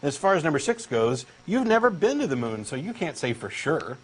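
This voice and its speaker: Brief: Texan man, earnest and pushy, bouncy fairly high delivery, faint hiss behind. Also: very animated, fairly quick, low-quality recording. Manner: eager, insistent true believer, earnest and pushy. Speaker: co-host doing a comic dramatic reading. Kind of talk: comic scripted dialogue reading.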